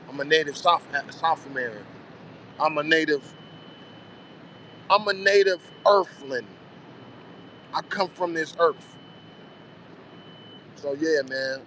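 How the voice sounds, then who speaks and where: A man's voice: on the high side, measured pace, long pauses, sharp tone, a little hiss or hum. A man in his forties talking casually in a loose, reflective monologue, often saying "you know what I'm saying". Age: 40s. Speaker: vlogger.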